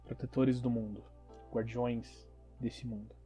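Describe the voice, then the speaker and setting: A man acts out a calm agent of a secret organization, formal and earnest. He speaks in measured, reassuring phrases, as in a role-play audio drama, with faint music behind him. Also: medium pitch, natural voice. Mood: solemn.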